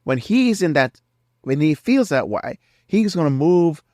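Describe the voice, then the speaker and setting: A man's voice: on the high side, big pitch swings, a little slow, a little mellow, dry recording, clean studio sound. A middle-aged man hosting a faith-based marriage podcast, earnest and preacherly, sounding nasal from a cold. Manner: earnest, preacherly, repeats key phrases. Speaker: podcast host.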